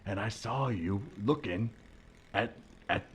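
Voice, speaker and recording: A man playing a jealous, exasperated husband in improvised comedy, halting and insistent as he pleads. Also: on the low side, slightly rough, exaggerated voice. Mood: uncomfortable, accusing. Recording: podcast with faint background noise.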